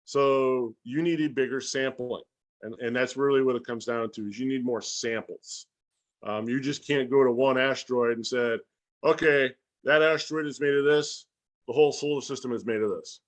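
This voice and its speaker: Male guest educator, medium pitch, casual and folksy explainer who voices imagined dialogue. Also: normal speed. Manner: animated, acts out imagined dialogue. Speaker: guest speaker and science educator.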